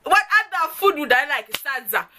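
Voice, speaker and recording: A woman's voice: high voice, sing-song, moderate pace, bright, forceful tone, a little hiss or hum. A young woman from Zimbabwe with a Zimbabwean accent, chatting playfully to her viewers in a vlog and laughing often. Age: young adult. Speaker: YouTuber. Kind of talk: get-to-know-me vlog.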